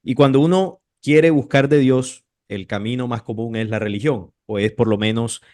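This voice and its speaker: A man's voice: medium pitch, moderate pace, mellow, no echo. A man from Colombia, a guest on an interview, speaking in a polite, thoughtful way. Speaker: guest, channel creator. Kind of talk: casual interview chat.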